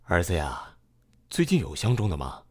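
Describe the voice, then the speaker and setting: A man playing a genial middle-aged father in an audio drama, gently probing and hospitable. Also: sits low, huge pitch swings, moderate pace, a little hiss or hum. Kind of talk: modern audio drama acting.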